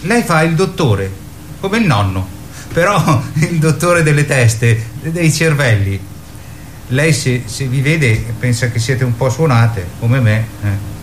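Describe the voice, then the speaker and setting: An actor playing a timid, simple-minded boy in a radio drama, halting, stammering and literal. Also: medium pitch, expressive, a little hiss or hum. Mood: earnest. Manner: halting, stammering, repeats himself.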